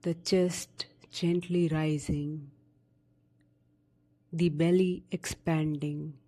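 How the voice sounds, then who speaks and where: A woman narrating a guided sleep meditation in a soothing, unhurried manner with long pauses between short instructions. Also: low voice, flat delivery, mellow.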